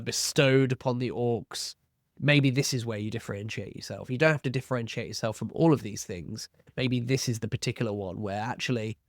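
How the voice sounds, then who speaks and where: Guy hosting a roleplaying advice vid, medium pitch, chatty and reflective, really dry sound no echo. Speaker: host of a tabletop roleplaying advice channel. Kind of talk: explanatory video talk to viewers, posing questions.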